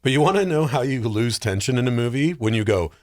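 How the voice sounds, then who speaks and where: A man's voice: medium pitch, expressive, fast talker, darkish tone. A man chatting casually as a podcast panelist, jokey and prone to acting out imagined quotes. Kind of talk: casual chat.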